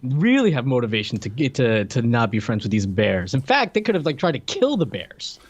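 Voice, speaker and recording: A man's voice: mid-range voice, wildly swooping pitch, brisk, highs cut off. A man co-hosting a podcast, chatting casually about films and chiming in with jokes. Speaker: podcast co-host. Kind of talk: casual movie review chat.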